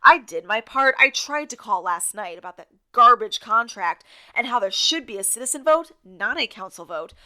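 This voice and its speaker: A woman's voice: a little high, lively intonation, normal speed, few pauses, bright, forceful tone. An actress playing an outspoken, indignant woman who co-hosts a town radio show in an audio drama, speaking with exasperated, sarcastic bite. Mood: indignant, rallying. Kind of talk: audio drama acting as conversational radio banter.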